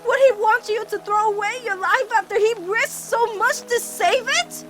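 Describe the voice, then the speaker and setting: A woman's voice: very high-pitched, normal speed, over loud music. A woman voicing a caring, protective teenage girl in a cartoon fan dub, earnest and emphatic as she pleads with her girlfriend. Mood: indignant pleading. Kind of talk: animated-series fan dub drama acting.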